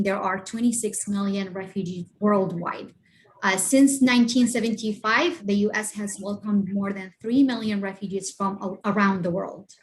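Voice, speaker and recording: A woman's voice: medium pitch, rough recording. Woman from Iraq giving an online talk. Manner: halting, many uh and um fillers. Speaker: refugee organizer presenting. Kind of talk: online presentation with shared slides. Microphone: video call.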